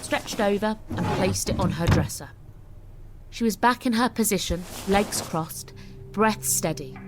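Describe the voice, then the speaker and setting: Lower-voiced woman narrating over music. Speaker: audiobook narrator. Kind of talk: scripted dramatic story narration.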